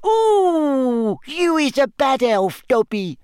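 A man's voice: lively intonation, measured pace, bright, forceful tone, no echo, clean studio sound, exaggerated voice. A man voicing a tiny female house-elf for an audiobook, indignant, tearful and fiercely loyal, wailing in broken grammar. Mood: wailing, distraught. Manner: shrill, wailing, broken third-person grammar. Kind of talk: audiobook character acting.

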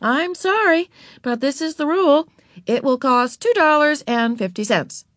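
A woman's voice: high voice, expressive, a little slow, fairly bright tone, dry recording, clean studio sound, voice pushed up. A woman voicing an understanding children's librarian in a storybook, polite and sing-song. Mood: regretful, matter-of-fact.

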